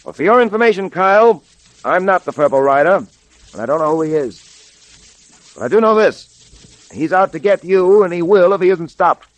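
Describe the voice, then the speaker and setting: An actor playing a principled, determined police detective in a measured, firm way, in 1930s radio drama style. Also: male voice, sits high, lively intonation, no room sound, poor audio. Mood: firm, grave. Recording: vintage 1930s radio recording.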